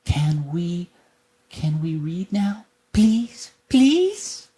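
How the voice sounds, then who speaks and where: A man voicing an eager, excitable young bunny who gushes and pleads. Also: sits high, extremely slow, husky, pitched above the performer's own voice, heavily acted. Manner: pleading, gushing.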